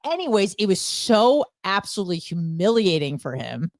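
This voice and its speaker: A woman's voice: medium pitch, very animated, moderate pace, no echo, clean studio sound. A woman co-hosting a radio show, chatting casually and telling candid, gossipy stories with rambling asides.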